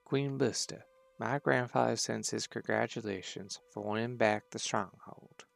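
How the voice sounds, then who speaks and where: Man playing a gravelly sly criminal dragon envoy. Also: mid-range voice, a little slow, fairly bright tone, no room sound. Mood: sly, courteous. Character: sly young male outlaw dragon envoy, insinuating. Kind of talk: audio drama acting. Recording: background music under the voice.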